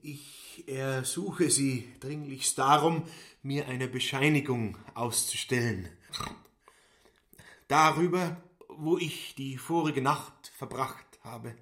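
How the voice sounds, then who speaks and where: An actor playing a stiff, formal petty gentleman in a radio drama, speaking in stilted officialese. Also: mid-range voice, slow pace. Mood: stiffly insistent.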